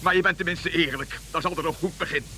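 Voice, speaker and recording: Excitable high-voiced old wandering king. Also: quick pace, slight background noise. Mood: earnest. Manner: excitable, stammering, repeating himself. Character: old Balthasar, fervent dreamy wandering king following a star. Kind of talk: Dutch radio play acting.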